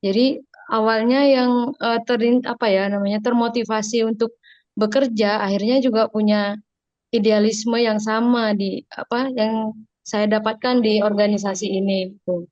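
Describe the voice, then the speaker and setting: Young woman, halting speech, dry muffled call audio. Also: mid-range voice, steady tone, a little mellow, no room sound. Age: young adult. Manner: hesitant, many fillers. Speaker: online forum participant. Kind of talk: sharing views on a video call. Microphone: video call.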